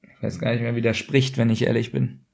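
A man's voice: mid-range voice, level tone, fast, vocal fry, darkish tone. A man chatting casually as a podcast co-host, often hesitant and groping for his words. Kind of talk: casual chat, reacting to a co-host.

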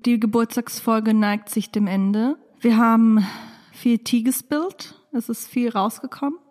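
A woman's voice: a little high, steady tone, unhurried, darkish tone. A woman co-hosting a podcast in casual, chatty conversation, often repeating herself. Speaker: podcast co-host. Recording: long reverberant hall sound.

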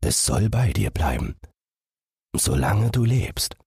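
A man voicing a kindly, commanding fairy-tale king in a gentle, measured way. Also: fairly low pitch, big pitch swings, husky, no room sound, clean studio sound, voice pushed up, heavily acted. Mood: kindly, stately.

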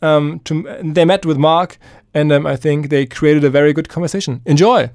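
Halting male podcast host, close dry sound. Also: fairly high pitch, darkish tone, smooth, no room sound, faint music behind. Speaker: podcast host introducing the episode. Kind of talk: spoken podcast introduction.

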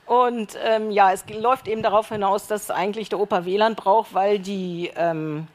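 A woman's voice: mid-range voice, fairly even intonation, normal speed. A woman on a panel, explaining a radio play with enthusiasm. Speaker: panel participant. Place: panel stage at an arts academy.

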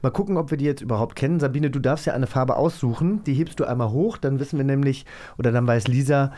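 A man's voice: mid-range voice, fast talker, warm tone. A man chatting casually and interviewing as a podcast co-host, with a joking, self-mocking manner.

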